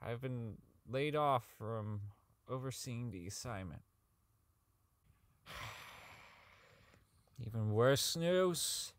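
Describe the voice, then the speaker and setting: Man acting a socially inept inventor, high sharp voice, stammering, profane, embittered, dry close recording, audio drama. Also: no echo, exaggerated voice. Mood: bitter. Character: socially inept, embittered male robot designer unraveling into rage. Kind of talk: fan audio drama, log monologue.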